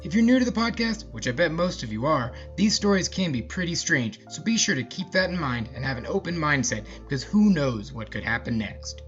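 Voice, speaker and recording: Podcast narrator: male, medium pitch, animated casual storytelling, slightly lo-fi sound over music. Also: brisk, few pauses. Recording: slightly muffled, music behind.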